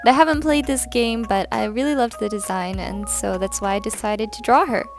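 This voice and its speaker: A woman's voice: on the high side, moderate pace. A young woman chatting casually in a rambling voice-over about her drawing, with fillers and light laughs. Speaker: art vlogger. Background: soft background music.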